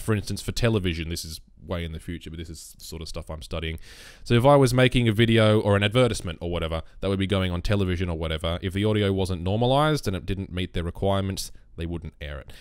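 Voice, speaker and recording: Bassy man, relaxed tutorial voice. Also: clear, resonant tone, no echo, clean studio sound. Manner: relaxed, rambling explanations. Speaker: YouTube gaming creator. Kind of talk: casual screen-recorded tutorial. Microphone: USB condenser mic on a boom arm.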